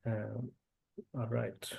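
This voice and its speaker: Brief: man from India, native Telugu speaker, mid-pitched voice, halting webinar presenter, lots of ums and restarts. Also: creaky, mellow. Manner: hesitant, many fillers and restarts. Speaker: event speaker. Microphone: computer mic on video call.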